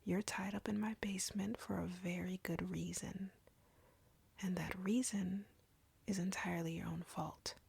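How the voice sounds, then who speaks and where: A woman playing an arrogant, cruel, flirty female vampire captor in an audio roleplay, taunting in an intimate, breathy, husky whisper. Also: lowish voice, fairly even intonation, a little mellow, dry recording, full character voice. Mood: bored, accusing. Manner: taunting, slow, deliberate, drawling.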